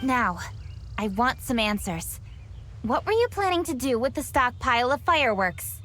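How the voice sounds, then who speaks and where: An actress playing a calm, resolute young female investigator who speaks in a composed, measured way, in a game cutscene. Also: on the high side, a little hiss or hum. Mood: stern.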